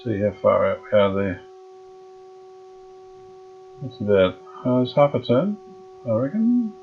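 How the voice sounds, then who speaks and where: A man restoring an old radio, thinking aloud in a halting, casual way with many pauses and fillers. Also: on the low side, sing-song, vocal fry, phone-quality sound, slight background noise. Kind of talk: hands-on workshop demonstration.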